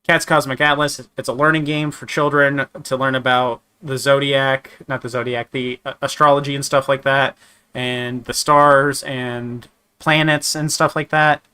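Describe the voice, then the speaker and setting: A man with a slightly raspy voice from a sore throat, chatting casually while reviewing games, rambling with many restarts and fillers. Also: on the high side, sharp tone. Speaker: gaming streamer. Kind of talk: casual game review chat.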